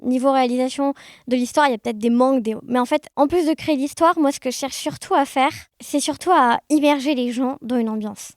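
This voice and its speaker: High-voiced woman in casual chat. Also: a little fast, dry recording, studio quality. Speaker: interview guest, amateur audio fiction maker. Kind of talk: casual conversational interview.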